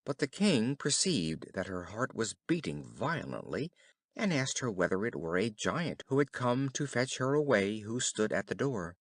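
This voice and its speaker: A man narrating a children's fairy tale in an expressive, careful storytelling style. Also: on the low side, normal speed, no echo, studio quality. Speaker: audiobook narrator.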